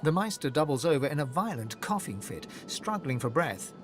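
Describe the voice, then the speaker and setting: An actor narrating a dark fantasy video game as a solemn storyteller, in a literary, dramatic style. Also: male voice, fairly high pitch, sing-song, normal speed. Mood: grave, descriptive. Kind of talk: scripted second-person game narration. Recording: music behind the narration. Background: music.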